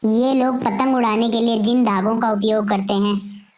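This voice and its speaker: A woman voicing an aggrieved pigeon in an animated moral story, explaining things in a deliberate way. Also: a little high, close to an everyday voice. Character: aggrieved injured pigeon complaining about humans.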